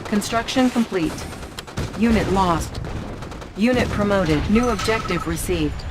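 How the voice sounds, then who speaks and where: Amid very loud battle noise and gunfire, a woman voices an impassive game computer. She gives clipped status announcements slowly, in a middle register. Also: medium pitch, lively intonation, close to an everyday voice. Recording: very noisy game battle audio.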